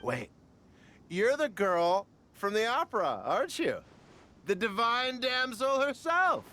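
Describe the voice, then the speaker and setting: A man voicing an eager young male opera fan who talks in an excited, gushing way. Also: very high voice, slow, a little hiss or hum, exaggerated voice.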